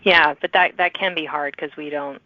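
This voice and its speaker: A woman's voice: low-pitched, steady tone, moderate pace, no room sound. Female podcast interviewer, conversational delivery, narrow telephone-grade audio, dry. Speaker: podcast host. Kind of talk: interview with authors. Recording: thin phone-like sound.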